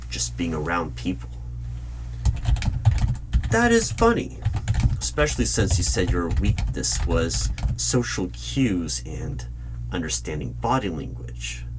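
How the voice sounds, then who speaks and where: A man playing a stern, suspicious hiring manager at a secret bureau, questioning deliberately and insistently in a loud-spoken ASMR interview roleplay. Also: low-pitched. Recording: clear hum, computer keyboard typing noise. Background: keyboard typing.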